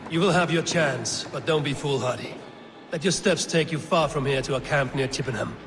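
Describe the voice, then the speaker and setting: An actor playing a hardened Viking leader in a video game, speaking in a measured, formal old-world way. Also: male voice, a little high, background noise, natural voice. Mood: commanding, calm. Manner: measured, archaic formal phrasing. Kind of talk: video game dramatic acting.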